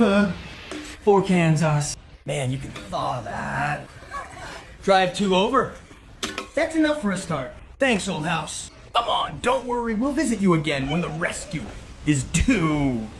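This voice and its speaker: A man's voice: sits high, very animated, a little slow, background noise, full character voice. A young man playing a cocky teenage bully, brash and sneering with mock whining, in an audio drama. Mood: gloating.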